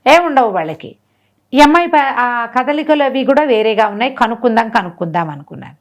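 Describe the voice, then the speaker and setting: A woman storyteller with a slightly high voice. She retells a folk tale as if chatting, putting questions to the listener and acting out what the characters say. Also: fairly quick. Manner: animated retelling with rhetorical questions and acted-out dialogue.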